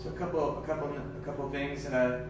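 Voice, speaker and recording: A man's voice: mid-range voice, little pitch movement, a little fast. A man co-hosting a casual livestream, relaying questions from viewers. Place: echoey room, off from the mic. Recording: very echoey, distant mic, noisy background.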